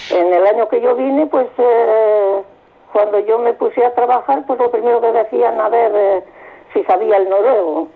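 Older woman from Spain, raspy, over the phone. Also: on the high side, moderate pace. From Álava, Spain. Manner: plain-spoken, reflective, many hesitations. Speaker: phone-in guest, emigrant in Norway. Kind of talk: casual radio interview. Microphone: telephone. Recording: tinny phone line.

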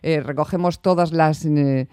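A man's voice: fairly high pitch, steady tone, a little slow, darkish tone, slight background noise. A man hosting a radio interview, asking brief, friendly questions and giving out listener details. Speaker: radio host.

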